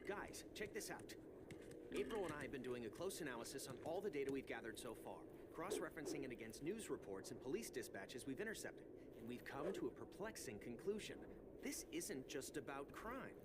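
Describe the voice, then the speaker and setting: A man's voice: medium pitch, very animated, a little fast, very noisy, lightly acted. A man playing a brainy analytical ninja turtle. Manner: analytical, explaining. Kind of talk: video game cutscene acting.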